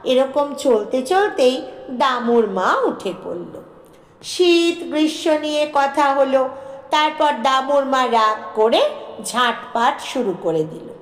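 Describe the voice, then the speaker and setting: A woman narrates a bedtime story expressively. Her voice is very high and she takes her time over each phrase. The recording has a roomy echo around it.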